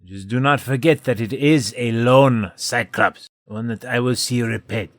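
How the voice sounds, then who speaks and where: A man's voice: medium pitch, measured pace, no echo, studio quality, lightly acted. Man as stern, proud, curt hero. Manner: curt, formal. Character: stern, proud Japanese male host, hostile. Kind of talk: audio drama acting.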